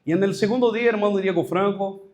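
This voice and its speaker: Man, Brazilian-accented Spanish, high pitch, halting storytelling pastor giving a testimony. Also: darkish tone. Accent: Brazilian Portuguese. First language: Portuguese. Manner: storytelling, halting with restarts and mixed-in Portuguese words. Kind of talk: testimony at a leadership workshop.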